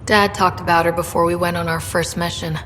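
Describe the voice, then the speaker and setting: A woman's voice: lowish voice, flat delivery, a little fast, fairly bright tone, lightly acted. A woman playing an earnest, caring young explorer in a sci-fi video game, talking in a subdued, conversational way. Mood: gentle. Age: young adult. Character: earnest, caring young female space explorer, twin sister. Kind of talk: naturalistic video game dialogue acting. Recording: reverberant room, faint ambient noise.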